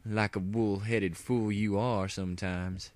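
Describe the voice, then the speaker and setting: A gruff, brainwashed female farm pony from an audio story, put on by a man. The delivery is a dark, folksy drawl, recorded dry and close. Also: lowish voice, fairly even intonation, a little slow, no room sound, lightly acted. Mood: dark, mocking.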